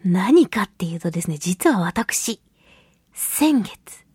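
A woman's voice: medium pitch, big pitch swings, takes it slowly, darkish tone, husky, dry recording, studio quality. A woman hosting a casual solo radio talk, chatting in a playful manner. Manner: playful, chatty, laughs often. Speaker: radio show host and voice actress.

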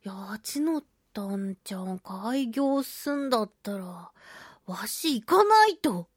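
A woman hosting a solo radio talk show, chatting and reading out listener mail with animated, playful reactions. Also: a little high, takes it slowly, no echo, clean studio sound. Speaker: radio show host, voice actress.

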